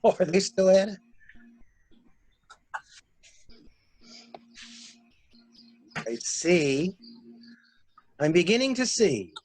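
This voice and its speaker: A man plays a sharp, sardonic male traveler in a murder mystery. His voice is rough-edged and very animated in pitch as he probes and pushes like an amateur detective. The delivery is theatrical and the sound is close and dry. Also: unhurried, no echo, exaggerated voice. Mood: wry curiosity. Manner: probing, insistent, theatrical. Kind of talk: dramatic reading of a murder mystery.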